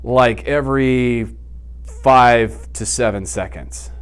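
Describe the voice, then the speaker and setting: A man, chatting casually off the cuff in a slangy, animated manner as an interview guest. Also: mid-range voice, takes it slowly, smooth. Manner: animated, slangy, blurts sound effects. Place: small indoor office, seated.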